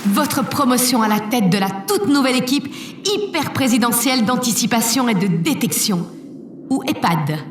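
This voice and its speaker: Actress as stately high-voiced woman president in echoing hall. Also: lively intonation, normal speed, slight background noise, lightly acted. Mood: ceremonious. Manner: stately, declamatory. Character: solemn, ceremonious woman president of a spaceship colony. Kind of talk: formal public speech in an audio drama. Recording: echoey public-address hall, distant, crowd ambience.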